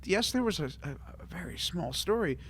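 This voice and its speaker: A man in casual, opinionated conversation as a podcast host, animated and swearing freely as he acts out reactions. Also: high-pitched, brisk. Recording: clear background noise.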